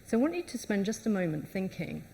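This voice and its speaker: A woman's voice: medium pitch, very animated, fast, vocal fry, mellow. A woman co-presenting a live conference session, explaining and speaking directly to the audience.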